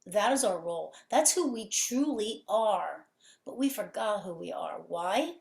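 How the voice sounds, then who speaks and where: A woman speaks as a podcast guest, fervent and emphatic, and she stacks one phrase on another. Her voice sits at a middle pitch. The recording carries a noticeable room echo. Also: expressive, measured pace, vocal fry, a little mellow. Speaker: podcast guest, healing center owner. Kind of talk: conversational interview. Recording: roomy echo.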